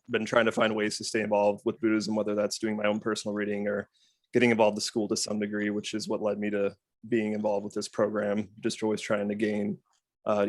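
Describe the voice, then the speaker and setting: A young man speaks fluently in a very flat, even tone, his pitch a touch low. The sound is dry and close. It is a video call self-introduction. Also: no echo. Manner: fluent, steady. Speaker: student.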